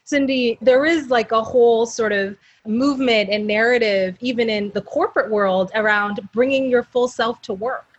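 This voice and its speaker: A woman's voice: fairly high pitch, moderate pace, few pauses. A woman moderating a panel, talking in a thoughtful, conversational way with plenty of "you know"s. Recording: dull lo-fi audio.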